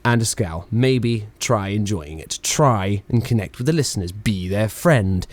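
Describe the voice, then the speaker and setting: A man's voice: lowish voice, lively intonation, unhurried. A man acting an ebullient, irreverent English engineer in charge in a 1920s radio play, with theatrical, bantering old-chap phrasing. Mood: coaxing, earnest. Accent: British.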